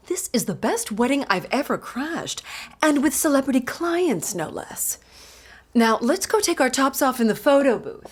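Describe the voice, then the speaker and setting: Brazen party crasher, sitcom, high animated voice, faint noise behind. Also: female voice, moderate pace. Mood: brazen approval. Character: brazen, party-loving wedding-crasher woman. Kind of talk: audio sitcom acting.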